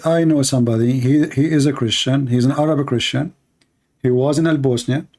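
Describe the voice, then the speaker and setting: A man whose first language is Arabic, hosting a call-in debate, pressing with questions and telling animated stories in non-native English. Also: medium pitch, fairly quick. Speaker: debate host.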